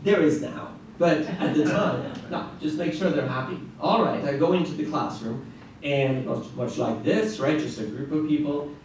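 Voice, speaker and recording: A man's voice: fairly high pitch, moderate pace. Norwegian guy giving a talk, lots of restarts and "right?", very echoey, sounds far off. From Norway. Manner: animated storytelling with frequent restarts and "right?" asides. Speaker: conference presenter, classical guitarist. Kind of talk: conference talk. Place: large echoey conference room. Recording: echoey room, distant mic, faint noise.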